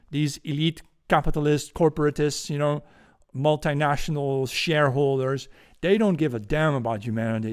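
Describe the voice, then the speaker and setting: A man in his forties with a Dutch accent, hosting a political commentary podcast from a room at home on a boom-arm studio mic, ranting indignantly. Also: clean tone. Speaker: podcast host.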